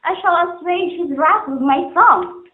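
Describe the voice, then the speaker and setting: A woman's voice: extremely high pitch, a little slow. A woman playing a devoted, innocent young singing girl in an earnest, poetic stage drama. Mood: pleading, resolute. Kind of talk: amateur stage-play dialogue, formal poetic English. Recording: muffled, phone-like narrowband sound.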